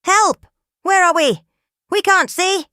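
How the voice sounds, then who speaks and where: Casting: woman doing jumpy pirate deckhand for kids' story, high-pitched wailing shouts. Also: takes it slowly, no room sound, studio quality, full character voice. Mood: panicked. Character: frightened wailing band of pirates, panicky.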